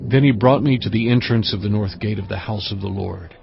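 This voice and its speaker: A man narrating a dramatized scripture reading in a measured, steady storytelling way. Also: fairly low pitch, vocal fry, slightly rough. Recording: dull lo-fi audio with music bed. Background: music.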